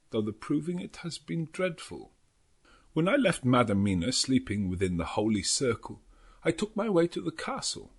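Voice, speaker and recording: A man voicing an elderly professor and vampire hunter in an audiobook, solemn and anguished, with slow, halting foreign phrasing. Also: mid-range voice, husky. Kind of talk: audiobook character narration.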